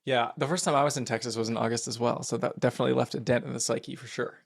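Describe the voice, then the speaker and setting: A man's voice: medium pitch, fairly even intonation, racing, gravelly. A man hosting a podcast interview, asking friendly, curious questions with some fillers. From Philadelphia area. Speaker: podcast host. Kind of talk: casual interview conversation.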